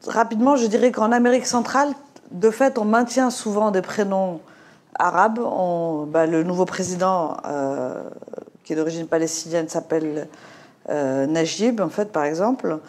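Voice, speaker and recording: A woman's voice: lowish voice, normal speed, darkish tone. A middle-aged woman from Morocco with a slight Moroccan French accent, speaking in a panel discussion, hesitant with many fillers and restarts. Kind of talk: discussion talk.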